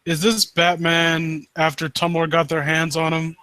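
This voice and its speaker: A man hosts a casual critique session with a sharp, bright voice that sits slightly high. He is sarcastic and foul-mouthed, slipping between reading aloud and riffing. The sound is close and dry. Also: completely flat delivery, a little slow, clear, resonant tone, dry recording. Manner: sarcastic, mocking, sweary. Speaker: host reading and critiquing horror stories live. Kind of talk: casual riffing commentary with read-aloud passages.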